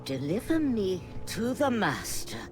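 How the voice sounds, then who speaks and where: A woman's voice: mid-range voice, very animated, measured pace, background noise. A woman playing a stern, proud, principled vampire inquisitor in a video game, solemn and resolute. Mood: solemn warning. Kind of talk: dramatic video game acting.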